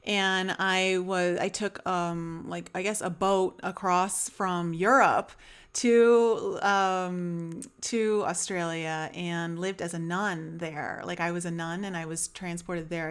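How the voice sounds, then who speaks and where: A woman's voice: on the low side, fairly even intonation, unhurried, clean tone. Rambling woman podcast host. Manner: rambling, lots of fillers and restarts. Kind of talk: casual interview chat.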